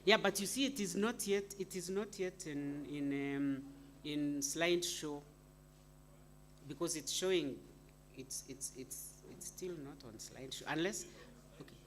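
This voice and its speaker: A woman with a Kenyan accent speaks at an unhurried, slow pace, addressing an audience informally as an academic. Her manner is chatty and playful, and she often turns to her listeners with questions. The live recording has some background noise. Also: sits low. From Eastern Kenya. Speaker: university academic, panel speaker. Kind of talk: informal public talk to an audience. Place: live university event. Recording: clearly noisy live event recording.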